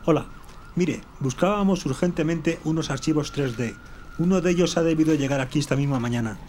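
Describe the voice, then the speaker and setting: Un hombre interpretando a un aventurero entusiasta e insistente en una ficción sonora. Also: male voice, a little high, fairly quick, darkish tone, natural voice. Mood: insistent. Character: eager, insistent male adventurer. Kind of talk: audio drama acting. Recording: noisy urban soundscape behind.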